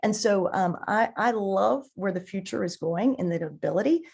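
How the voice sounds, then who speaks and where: A woman giving conversational, enthusiastic explanations as an interview guest on a marketing podcast, haltingly repeating words as she thinks. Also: medium pitch, animated, creaky, a little mellow, slightly rough, muffled recording.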